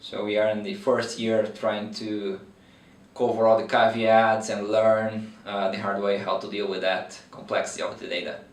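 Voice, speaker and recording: A man's voice: lowish voice, level tone, normal speed, recorded from a distance. A man in his thirties from Brazil with a Brazilian accent, explaining his veterinary research in an interview with many fillers and restarts. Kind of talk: interview answers. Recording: roomy echo, mic from afar.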